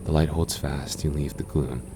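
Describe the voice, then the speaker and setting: A man voicing a gentle, shy goth boyfriend in an ASMR roleplay, soft-spoken and stammering as he reassures his listener. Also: very deep voice, fairly even intonation, moderate pace, slight background noise. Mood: puzzling. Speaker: voice actor.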